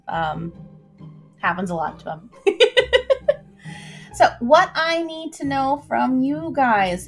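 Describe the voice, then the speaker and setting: Woman, livestream storyteller, slightly high pitch, expressive, informal delivery, soft background music. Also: vocal fry. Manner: chatty, informal, addresses listeners directly. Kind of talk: expressive story reading and casual livestream chat.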